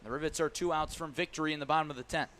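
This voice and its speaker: A man's voice: a little high, steady tone, very fast, fairly bright tone. A man calling baseball play-by-play live on the radio from a ballpark, punching up the big moments. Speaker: play-by-play announcer. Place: outdoor ballpark broadcast.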